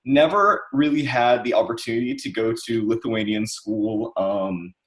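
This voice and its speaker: A man in his twenties, born in the United States, talking on a panel over a video call in a relaxed, chatty way. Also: medium pitch, moderate pace. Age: 20s. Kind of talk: casual panel talk.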